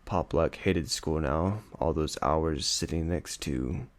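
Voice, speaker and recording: A male audiobook reader with a low-pitched voice. He delivers scripted fiction as dramatic narration. The recording is dry, with hardly any room sound. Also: slow pace, no echo.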